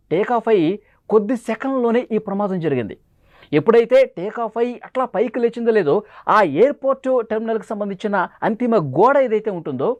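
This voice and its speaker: A man delivers dramatic news commentary. He talks quickly and leans hard on key phrases, often repeating them for emphasis. The sound is dry, with almost no room in it. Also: sits high, no room sound, dull audio. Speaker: news presenter.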